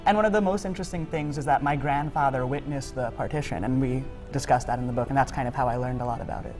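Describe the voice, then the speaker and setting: A man's voice: mid-range voice, fast, faint music behind. Young American man, casual talk. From United States. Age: young adult. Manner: relaxed, conversational, with fillers like "you know". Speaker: guest.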